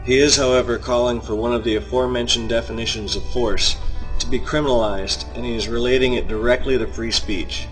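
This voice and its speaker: A man reading scripted opinion commentary in a measured, deliberate, argumentative manner. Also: mid-range voice, fairly even intonation, low-quality recording. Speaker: video commentator. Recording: music bed under the voice. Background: music.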